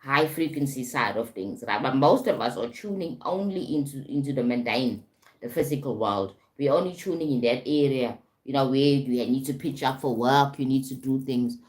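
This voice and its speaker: Very deep-voiced woman, spiritual livestream host. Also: normal speed, a little mellow. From South Africa. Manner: repetitive, frequent "right?" check-ins. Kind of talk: casual live-stream teaching.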